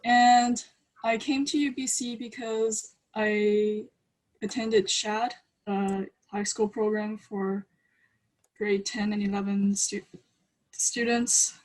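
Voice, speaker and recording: A woman in her early twenties speaking on a student panel. Her pitch is average for a woman. She grew up in China and eastern Canada and talks informally about herself. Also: steady tone, slow, rough recording. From China, then eastern Canada from age ten. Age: early 20s. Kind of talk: informal self-introduction on a panel.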